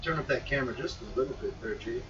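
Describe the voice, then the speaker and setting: A man's voice: medium pitch, quick pace. A man making casual shop talk as a CB radio shop worker. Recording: dull distant mic, faint noise.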